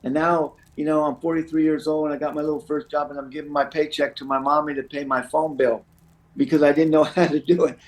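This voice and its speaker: Middle aged guy, voice a bit high, chatty recovery counselor telling stories, lots of you knows. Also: little pitch movement, fast, rough recording. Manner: rambling, repeats "you know". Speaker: guest, addiction recovery counselor. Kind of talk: conversational interview, sharing personal anecdotes.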